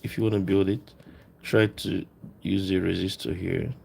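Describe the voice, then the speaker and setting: A man presenting a casual hands-on electronics tutorial, explaining as he works in a halting, informal way, thinking aloud. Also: low-pitched, steady tone, gravelly, dark tone, a little hiss or hum.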